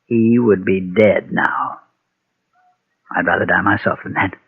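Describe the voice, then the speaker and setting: An actor playing a guilt-ridden former preacher and gunfighter, solemn and halting, in a Golden Age radio western. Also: male voice, sits low, steady tone, husky, exaggerated voice. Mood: resigned. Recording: muffled, thin vintage radio recording.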